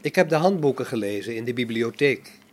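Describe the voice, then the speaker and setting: An amateur actor in a radio play as a calm, pious robot, a man speaking in a measured, solemn, preachy manner. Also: medium pitch, natural voice. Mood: polite, serene.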